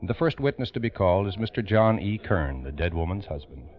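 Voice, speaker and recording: A man's voice: low voice, lively intonation, a little fast. A man giving formal, dramatic scripted narration for a 1940s radio crime program. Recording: dull old radio recording.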